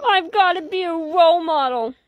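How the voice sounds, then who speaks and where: A woman's voice: very high voice, normal speed, no echo, narrow, tinny audio. A woman voicing an earnest, repentant policeman in a storybook, eager to do better. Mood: earnest, resolute.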